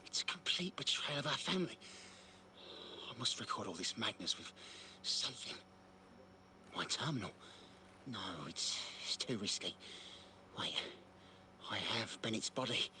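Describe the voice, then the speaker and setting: A man's voice: medium pitch, expressive, unhurried, pauses often, sharp tone, a little hiss or hum. A man playing a loyal but uneasy crime-family henchman in a video game, speaking warily. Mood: appalled, anxious. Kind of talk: dramatic video game dialogue.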